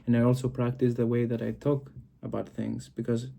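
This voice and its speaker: A man's voice: medium pitch, completely flat delivery, a little fast, vocal fry, warm tone. A man from Greece with a Greek accent, talking to camera in a reflective solo video and often searching for words. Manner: searching for words, frequent fillers and restarts. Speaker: video host.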